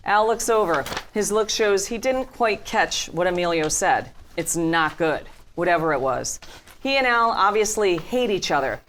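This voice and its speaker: A woman with a slightly low voice serves as narrator at a table read. She delivers scene headings and stage directions as scripted narration. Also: lively intonation, normal speed, a bit bright.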